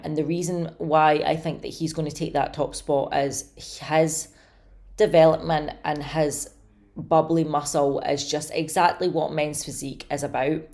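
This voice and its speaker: A woman with a slightly hoarse, raspy voice, chatting casually and eagerly to camera about her contest predictions. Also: low voice, darkish tone. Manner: chatty, enthusiastic, many fillers. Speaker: bodybuilding commentator.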